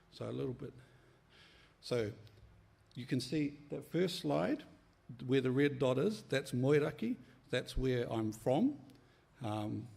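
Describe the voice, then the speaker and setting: A middle-aged man from New Zealand speaks with a New Zealand accent, his voice gravelly and edged with fry. He presents a conference workshop in an easy, unhurried manner, often pausing on "um" and "uh". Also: mid-range voice. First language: Māori. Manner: relaxed, friendly, with many ums and uhs. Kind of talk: conference talk.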